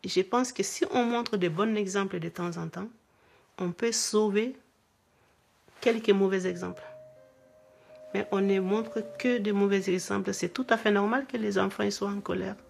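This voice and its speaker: A woman's voice: medium pitch, steady tone, normal speed, vocal fry, breathy edge. An older woman, a mother and grandmother, speaking earnestly in an interview. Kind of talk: interview testimony.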